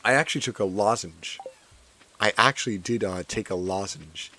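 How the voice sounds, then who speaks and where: Hoarse man chatting over gameplay. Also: on the low side, moderate pace, bright, forceful tone, slight background noise. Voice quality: voice cracking. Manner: chatty, repeats his own phrases. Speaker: gaming streamer. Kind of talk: casual gameplay commentary.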